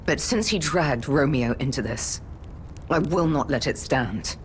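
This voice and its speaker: An actress playing a grave, guilt-ridden veteran warrior and mentor in a video game, speaking in a measured, formal way. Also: female voice, extremely low pitch, lively intonation, slight background noise, rough recording. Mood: bitter resolve. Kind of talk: dramatic video game cutscene acting.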